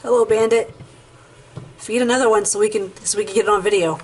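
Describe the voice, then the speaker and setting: A woman chatting casually in a home vlog, explaining things in a relaxed, offhand way. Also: mid-range voice, fast talker. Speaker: vlogger. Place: house, indoors.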